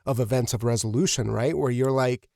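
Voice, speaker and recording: A man's voice: medium pitch, steady tone, gravelly, darkish tone, no echo. Dry-sounding man hosting a podcast interview. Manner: effusive, earnest, halting phrasing. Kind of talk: casual, reflective interview talk.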